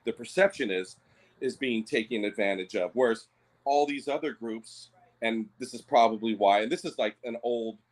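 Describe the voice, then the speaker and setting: A man's voice: mid-range voice, moderate pace. A Native Hawaiian man talking things through on a podcast, hesitant, with many fillers and restarts. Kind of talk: casual discussion.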